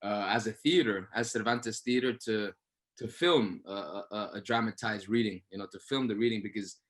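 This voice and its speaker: A man's voice: on the low side, normal speed, a bit bright. A man speaking earnestly and emphatically as an actor in a post-show Q&A, often repeating phrases as he reflects. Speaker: actor, interview guest.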